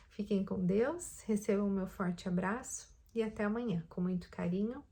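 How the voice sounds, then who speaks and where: A woman from Brazil hosting a devotional talk, speaking thoughtfully and gently, pausing often to find her words. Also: medium pitch. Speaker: host of daily Lent reflection videos.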